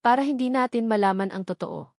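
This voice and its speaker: A woman's voice: on the high side, fast, gravelly, a little mellow, no echo, studio quality. A woman voicing a serious, wronged man who confesses his secret in an audio love drama. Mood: earnest, pained.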